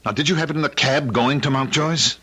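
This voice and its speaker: An actor playing an anxious, hard-up husband in old-time radio drama style, urgent and exasperated yet tender. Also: male voice, medium pitch, brisk, sharp tone, husky. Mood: urgent, agitated.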